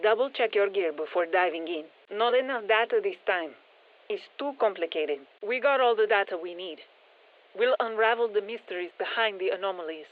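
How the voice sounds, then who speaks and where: A woman playing a brisk, tech-minded science operative in a video game, giving matter-of-fact, wry mission instructions over a radio filter. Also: medium pitch, animated, phone-quality sound. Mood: brisk, focused. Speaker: mission handler. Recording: thin band-limited radio comms sound.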